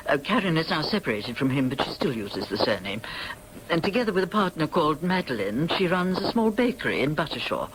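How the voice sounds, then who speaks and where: An actress playing a stern, dignified, guilt-ridden older upper-class mother in a radio drama, speaking formally and with measured precision. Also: sits low, slight background noise, poor audio. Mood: composed, informative.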